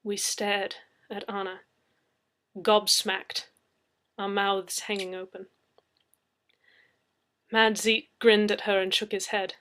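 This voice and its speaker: A woman's voice: medium pitch, a bit bright. A woman reading first-person story narration as an audiobook reader.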